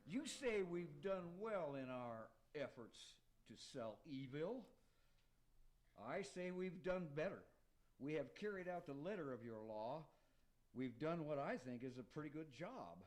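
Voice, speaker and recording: A man's voice: on the high side, expressive, measured pace, a little mellow. A man playing a zealous, combative veteran demon making insistent speeches in an amateur radio play. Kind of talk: amateur radio theater acting.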